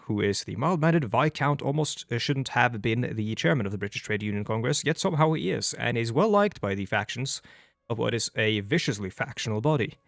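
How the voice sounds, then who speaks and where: A man's voice: mid-range voice, no echo, studio quality. Rambling Norwegian-accented young man. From Norway. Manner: chatty and rambling, with frequent restarts. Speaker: let's play host. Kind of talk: casual gameplay commentary. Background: faint background music.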